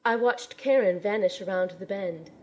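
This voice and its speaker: A woman's voice: mid-range voice, normal speed, lightly acted, low-quality recording. A woman playing an earnest young female agent in an audio drama, dramatically telling her own adventure. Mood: somber narration. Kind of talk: dramatic first-person narration and audio drama acting. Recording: clear background noise.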